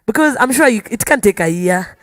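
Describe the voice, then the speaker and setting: A woman's voice: a little high, expressive, fairly quick, no room sound. A woman with a Kenyan accent chatting as a guest on a panel, tentatively feeling out her phrasing. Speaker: singer guest. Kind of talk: casual panel chat.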